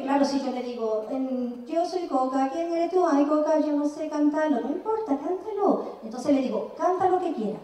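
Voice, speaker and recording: A woman in her sixties from Andalusia with an Andalusian Spanish accent, giving a lively, chatty talk on music teaching and sometimes singing short examples. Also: high-pitched. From Andalusia, Spain. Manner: lively, chatty, sings examples, asks the audience. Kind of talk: conference presentation. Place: large conservatory auditorium, indoors. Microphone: handheld mic. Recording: very echoey hall, mic far off.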